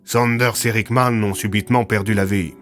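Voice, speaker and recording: A cool agency chief, played by a male narrator, in an audio drama. His voice is low, and he speaks formally and with clipped authority over music. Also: fairly even intonation, moderate pace, a bit bright, lightly acted. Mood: cool, authoritative.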